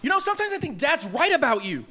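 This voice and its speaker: A woman's voice: sits high, big pitch swings, quick pace, background noise. A woman reading a bratty teenage boy who whines and accuses his older brother, at a live table read. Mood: accusing. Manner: whiny, accusing.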